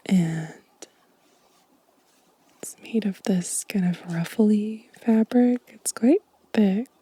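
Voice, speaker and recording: A woman softly whispering as an ASMR host, gentle and unhurried, with a breathy voice close to the microphone. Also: mid-range voice, no echo. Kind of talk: soft-spoken whispered ASMR show and tell.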